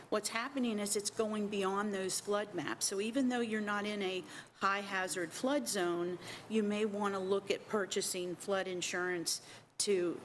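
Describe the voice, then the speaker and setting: A woman speaking as an insurance agent on a business panel, with a loud voice, explaining plainly into a handheld microphone in a meeting room. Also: medium pitch, slight background noise. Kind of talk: public panel talk.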